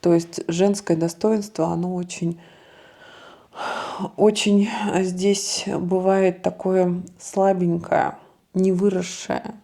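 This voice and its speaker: Low, gravelly-voiced woman co-host. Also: level tone, slow pace, warm tone. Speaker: co-host discussing a fairy tale. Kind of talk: casual analytical discussion.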